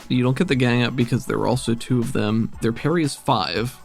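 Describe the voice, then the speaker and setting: A man's voice: medium pitch, fairly quick, gravelly, soft background music. A man acting as game master of a tabletop role-playing game, talking casually.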